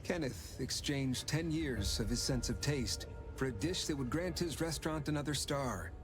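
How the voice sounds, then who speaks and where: A man's voice: mid-range voice, animated, moderate pace, lightly acted. A man playing an earnest perfumer who explains himself candidly in an anime-style game. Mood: confessional. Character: earnest, confessional rescued male perfumer. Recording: loud music under the voice.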